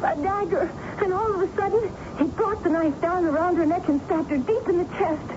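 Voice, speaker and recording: An actress playing an earnest, insistent young daughter haunted by a murder in a radio mystery drama. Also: female voice, very high-pitched, a little fast. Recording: noisy, dull old radio broadcast tape.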